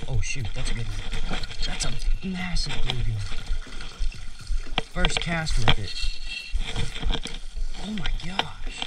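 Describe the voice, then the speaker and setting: A young man, excitedly narrating his catches on a wooded creek bank, recorded on an action camera he is wearing. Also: medium pitch, slow, long pauses, recorded from a distance, heavy background noise. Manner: excitable, keeps narrating catches, says "y'all" and "dude". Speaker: fishing channel host. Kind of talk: excited casual play-by-play while fishing. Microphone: head- or chest-mounted action camera. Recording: action camera audio under loud background music.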